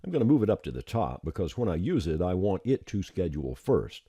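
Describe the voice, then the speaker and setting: A man with an extremely deep voice narrates a step-by-step software tutorial. His tone is dark and somewhat husky, while his pitch moves around in a lively way. The delivery is plain-spoken and informal. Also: a little fast, dry recording, clean studio sound.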